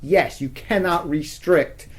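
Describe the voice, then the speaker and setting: An American man talking casually and argumentatively as a podcast guest, halting often and leaning on filler words. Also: on the high side. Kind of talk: casual opinionated conversation.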